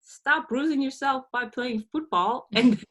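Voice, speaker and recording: A woman's voice: high-pitched, measured pace, fairly bright tone. A woman in her thirties from Belgium, a podcast guest talking through her past in a reflective way, with frequent laughs and restarts. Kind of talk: casual interview.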